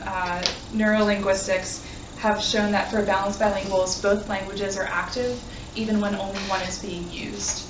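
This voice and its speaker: A young woman gives an academic talk in an earnest way, with plenty of ums. Her voice stays fairly flat in pitch and is picked up from a distance in an echoey room. There is clear background noise. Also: lo-fi sound. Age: young adult. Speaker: graduate student presenter. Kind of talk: academic presentation.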